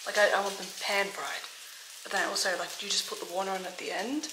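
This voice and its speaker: A woman's voice: mid-range voice, steady tone, fast talker. A woman, chatting casually to camera while she cooks, full of "like" and fillers. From Australia. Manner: chatty, filler-heavy with frequent "like". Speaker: vlogger. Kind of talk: casual vlog chat. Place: home kitchen, indoors. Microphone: camera mic, handheld or propped close. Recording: echoey kitchen with clear background noise.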